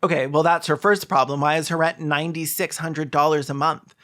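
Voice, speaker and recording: Sarcastic commentator with a mid-pitched voice. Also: steady tone, brisk, a bit bright. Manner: sarcastic, mocking, crude, exaggerated. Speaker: YouTube commentary host. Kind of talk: comedic reaction commentary.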